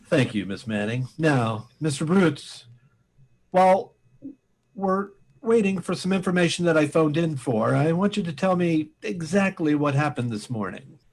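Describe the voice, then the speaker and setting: A man playing a calm, methodical police inspector in an old-time radio mystery, deliberate and probing. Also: on the high side, low-quality recording. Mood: businesslike.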